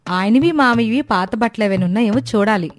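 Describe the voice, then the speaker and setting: Woman as a high-voiced young daughter-in-law. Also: quick pace, slight background noise, lightly acted. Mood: eager, obliging. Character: dutiful, eager young village daughter-in-law. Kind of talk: cartoon moral story acting.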